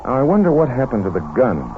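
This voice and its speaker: An actor playing a calm, methodical doctor detective in a 1940s radio drama, brisk and authoritative with an American accent. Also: male voice, medium pitch, close to an everyday voice. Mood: grave, businesslike. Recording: muffled, noisy vintage radio recording.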